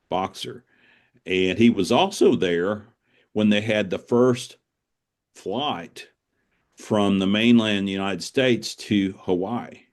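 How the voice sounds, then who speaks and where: Male author guest, mature, low register. Conversational, reflective storyteller with a halting, rambling delivery. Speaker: author being interviewed. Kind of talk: casual conversational interview, storytelling.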